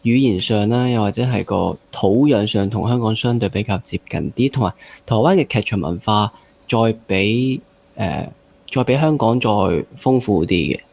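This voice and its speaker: A man's voice: on the low side, moderate pace, gravelly, darkish tone. A man with a Hong Kong accent hosting a casual interview, rephrasing and repeating himself as he thinks aloud. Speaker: interview host.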